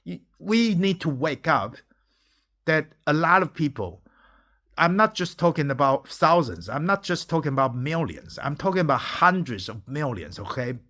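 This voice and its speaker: A man's voice: a little high, very animated, normal speed, pushed and bright. A man in his forties from Taiwan, a native Taiwanese and Mandarin speaker talking English, who speaks in an emphatic, preachy way, keeps asking "okay?" and swears now and then. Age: 40s. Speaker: entrepreneur vlogger. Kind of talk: impassioned monologue to camera.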